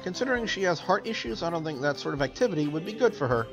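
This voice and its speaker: A young male visual novel lead, read by a man, blunt and dry with an awkward streak. The voice sits on the high side, over faint music. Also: expressive, rapid-fire. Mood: dry, frank.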